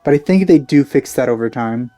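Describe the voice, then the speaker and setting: A man's voice: medium pitch, animated, fairly quick, warm tone, no echo, faint music behind. A man giving casual gameplay commentary as a let's play host.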